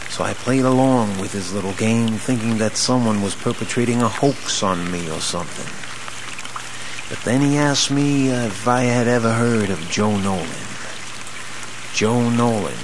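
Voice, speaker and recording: A man working as a radio announcer, telling a scripted first-person story in a theatrical, deliberate way. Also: fairly low pitch, noisy background. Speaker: radio board operator and announcer. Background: music.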